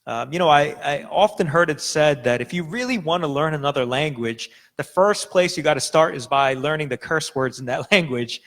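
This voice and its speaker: A man's voice: a little high, moderate pace, few pauses, a bit bright. A young adult man preaching a sermon as a pastor, talking casually with fillers and restarts. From United States. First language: Korean. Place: church sanctuary.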